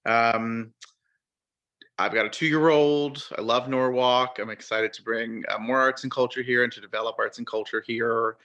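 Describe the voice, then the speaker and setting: A man's voice: mid-range voice, fairly even intonation, moderate pace, bright, forceful tone, highs cut off. A man from Miami introducing himself to a commission meeting, hesitant with many fillers but friendly. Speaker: commission vice chair, college president.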